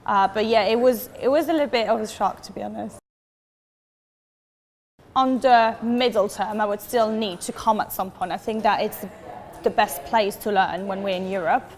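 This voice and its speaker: A young woman from Switzerland in her twenties gives interview answers with earnest enthusiasm. Her voice sits at a middle pitch. It trails a long reverb, as if recorded in a big room. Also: moderate pace. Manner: earnest and enthusiastic, emphatic with "so" and "literally". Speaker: interviewee, advertising professional. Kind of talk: talking-head interview answers.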